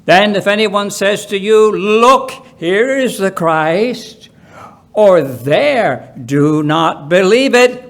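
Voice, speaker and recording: A man with a notably high-pitched voice reads from the Bible at a very slow pace. His delivery is solemn and deliberate, setting phrases apart with pauses and leaning on them for emphasis. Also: animated. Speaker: Bible reader at a church. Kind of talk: solemn scripture reading.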